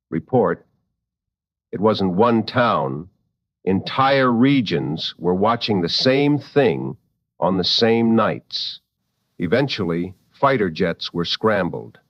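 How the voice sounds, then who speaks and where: Measured low-voiced late-night radio host. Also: male voice, fairly bright tone, lo-fi sound, full character voice. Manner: deliberate, measured, dramatic phrasing.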